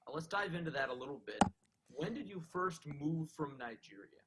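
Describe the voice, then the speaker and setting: A man's voice: fairly high pitch, lively intonation, normal speed, very noisy. A man hosting a sports show, asking interview questions in a conversational, friendly way and often leading with "you know".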